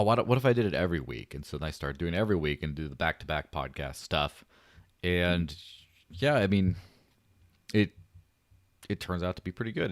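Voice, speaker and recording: A low-pitched man hosting a casual livestream podcast, his voice light and buttery. He rambles, jokes and pokes fun at himself. The sound is dry and close. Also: dry recording. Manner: joking, rambling, self-deprecating. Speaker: podcast host.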